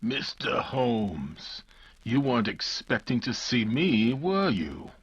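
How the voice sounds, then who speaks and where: An actor playing a vengeful old criminal doctor, ominous and grandiose, in a 1940s radio mystery style. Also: male voice, medium pitch, sing-song, takes it slowly, husky, muffled recording, exaggerated voice. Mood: sneering menace. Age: 70s character.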